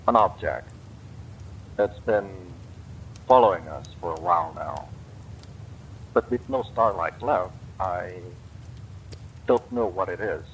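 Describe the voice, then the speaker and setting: A man voicing a calm, grave administrator of a space pod, speaking in a measured, matter-of-fact way. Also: deep voice, slight background noise, heavily acted, low-quality recording.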